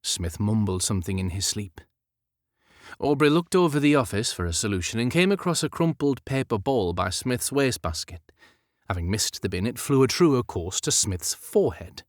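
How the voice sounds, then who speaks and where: A man's voice: fairly low pitch, animated, normal speed, no echo, studio quality. A man narrating a period romance audiobook as scripted fiction.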